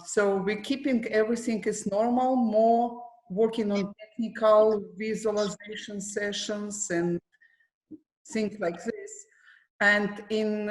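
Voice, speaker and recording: Give me a middle-aged woman with a Ukrainian accent who talks slowly and deliberately, her voice sitting a little low. She is a coach on a video call, and the room has a noticeable echo. Her phrasing is careful and shows she is not a native English speaker. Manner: slow, careful phrasing with non-native grammar. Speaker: para athletics coach. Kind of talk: casual panel Q&A. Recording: roomy echo.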